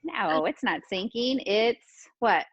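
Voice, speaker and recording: A woman's voice: medium pitch, expressive, slow pace, fairly bright tone, breathy edge, no echo. Muffled-sounding woman teaching young children. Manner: encouraging, questioning, repeats children's answers. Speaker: pre-kindergarten teacher. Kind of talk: interactive lesson for young children.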